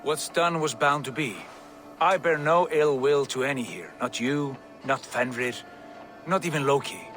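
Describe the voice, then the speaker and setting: An actor playing a calm, forgiving Norse god in a video game, stoic and measured in his delivery. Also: medium pitch, soft background music, close to an everyday voice. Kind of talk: dramatic video game cutscene acting.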